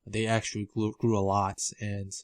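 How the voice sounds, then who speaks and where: A man giving informal financial commentary as a video host, halting and often repeating words as he explains. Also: lowish voice, completely flat delivery, dry recording, studio quality. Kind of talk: informal financial commentary over documents on screen.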